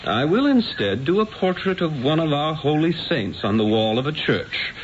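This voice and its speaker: An actor playing a proud, witty master painter in a radio drama, speaking in a theatrical, haughty and eloquent manner. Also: male voice, on the high side, very animated, moderate pace, a little hiss or hum. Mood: haughty, bargaining. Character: proud, witty, grandiose male master painter narrating his story. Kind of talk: radio drama acting with first-person narration. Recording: dull vintage radio broadcast with music.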